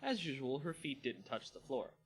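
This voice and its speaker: A male host narrates fantasy fiction in a mid-range voice with very animated intonation. The delivery is theatrical and expressive, and music plays softly behind him. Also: mid-range voice, moderate pace, husky. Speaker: channel host and story narrator. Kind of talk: dramatic story narration.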